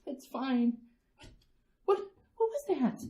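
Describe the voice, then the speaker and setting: A woman's voice: high voice, very animated, slow pace, dark tone, full character voice. A woman playing an anxious suburban housewife and mother in an old-fashioned radio drama, hesitant and fretful as she hides her worry. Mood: nervous, fretful. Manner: hesitant, repeating words, fretful.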